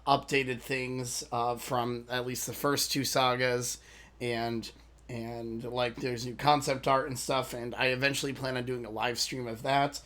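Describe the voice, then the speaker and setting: A man hosting a reaction channel, talking casually to his viewers with a hoarse voice, rambling and apologizing as he loses his train of thought. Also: medium pitch, a bit bright. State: hoarse from a lost voice.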